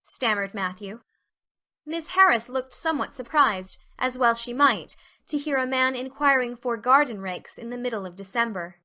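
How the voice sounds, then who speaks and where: Bright, higher-pitched woman narrator. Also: animated, moderate pace. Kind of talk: scripted audiobook narration.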